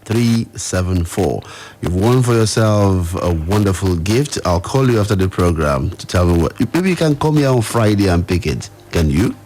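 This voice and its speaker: Low-voiced Nigerian man hosting radio. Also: expressive, normal speed, a little mellow. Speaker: radio host. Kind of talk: live radio phone-in hosting. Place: radio studio.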